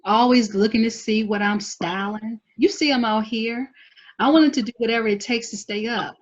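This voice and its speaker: A woman's voice: on the high side, normal speed, natural voice. An actress reading a proud, driven twenties woman, blunt, slangy and defensive, in a TV drama table read. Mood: guarded, determined. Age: young adult. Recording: dull lo-fi recording.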